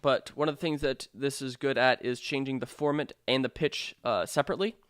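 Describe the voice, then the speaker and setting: A man narrating a software tutorial in an informal, explanatory way with hesitant phrasing. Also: mid-range voice, fairly even intonation, fairly quick, clean tone, no echo, clean studio sound. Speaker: sound design tutorial presenter.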